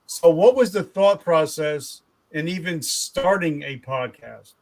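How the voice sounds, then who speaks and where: A man hosts a podcast interview, enthusiastic and halting, with plenty of ums. His voice sits a little high for a man. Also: a little mellow. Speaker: podcast host. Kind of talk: interview questions.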